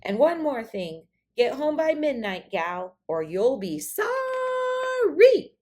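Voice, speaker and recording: Woman putting on a feisty, high-pitched old cowgirl fairy godmother. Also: sing-song, a little mellow, exaggerated voice. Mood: bossy warning. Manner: brisk, bossy, folksy cowgirl talk.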